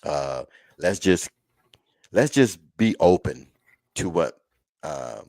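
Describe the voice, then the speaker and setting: Deep-voiced man talking football casually. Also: big pitch swings, slow, darkish tone, no echo. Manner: folksy, conversational, often addresses listeners as "man". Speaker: host of a college football show, coach. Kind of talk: casual live sports chat.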